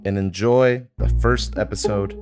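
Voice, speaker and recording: Guy hosting a podcast doing an excited scripted announcement, talking right to listeners, guitar playing behind him. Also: on the low side, a little slow. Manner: enthusiastic, addressing listeners directly. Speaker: podcast creator and host. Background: guitar music.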